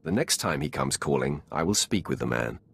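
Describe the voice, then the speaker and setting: An actor playing a commanding, sardonic dark lord in a video game, wry and imperious toward those beneath him. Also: male voice, very deep voice, expressive, creaky, breathy edge, full character voice. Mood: amused, imperious. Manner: wry, imperious, measured authority. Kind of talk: video game character acting.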